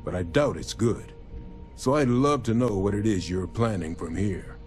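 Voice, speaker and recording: An actor playing a weary, wry older male scientist in a video game, halting and wary as he talks. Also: fairly low pitch, close to an everyday voice. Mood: grave, wary. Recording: loud music under the voice.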